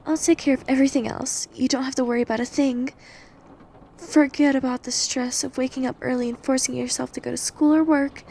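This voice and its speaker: Over faint hiss and noise comes an obsessive, hungry vampire woman, played by a woman, in an intimate ASMR roleplay. Her voice is soft-toned and mellow with heavy fry, breathy and prone to whispering, while staying domineering and possessive. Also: high-pitched, steady tone, brisk. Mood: possessive, coaxing. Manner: breathy intimate delivery, often dropping to whispers. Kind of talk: ASMR roleplay audio drama.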